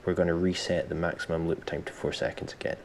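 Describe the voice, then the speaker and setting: A man presenting a software tutorial step by step, informally thinking aloud as he explains. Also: deep voice, level tone, quick pace, a little hiss or hum. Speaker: tutorial host.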